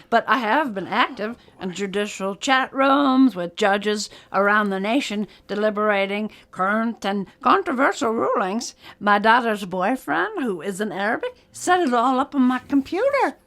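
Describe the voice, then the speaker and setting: An actress playing a folksy, firm, no-nonsense small-town judge in an audio drama. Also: female voice, on the high side, animated, normal speed, fairly bright tone.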